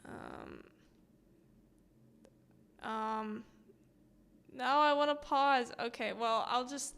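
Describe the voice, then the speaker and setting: A woman's voice: a little high, very slow, gravelly, a bit bright. A woman hosting a casual let's play voice-acting session, chatting loosely while setting things up. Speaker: host.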